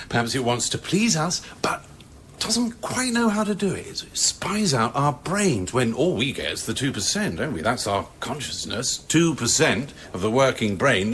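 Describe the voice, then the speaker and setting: A man's voice: medium pitch, fairly bright tone, a little hiss or hum. A man acting a wry, eccentric scientist in a radio drama, musing with sardonic emphasis.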